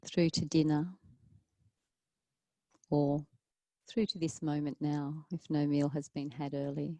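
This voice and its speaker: A woman with a low, soft-edged mellow voice guides a relaxation class as a yoga teacher. Her delivery is calm and soothing, with long pauses between gentle instructions. The sound is very dry and close, with no room around it. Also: dry recording.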